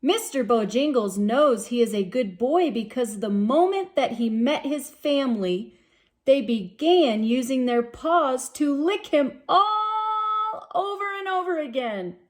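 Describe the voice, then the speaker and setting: A woman reading a storybook aloud to young children, expressive and sing-song, speaking directly to her listeners. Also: high-pitched, unhurried.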